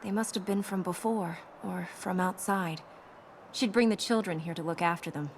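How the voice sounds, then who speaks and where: An actress playing an earnest, melancholy young princess in a video game, speaking in a measured, wistful way. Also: female voice, lowish voice, slight background noise, close to an everyday voice. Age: young adult.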